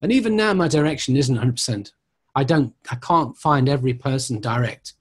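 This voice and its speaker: A middle-aged man teaching informally as a tutor, answering questions and explaining in an animated, conversational way. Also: medium pitch, a little fast, breathy edge. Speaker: mediumship tutor.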